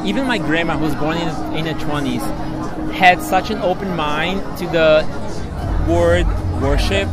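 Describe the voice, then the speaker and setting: A young man from Brazil, chatting casually as an interview guest, rambling earnestly and leaning heavily on "like". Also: on the high side. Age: young adult. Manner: rambling, heavy on "like" fillers, earnest. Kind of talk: casual chat. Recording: loud music and crowd behind.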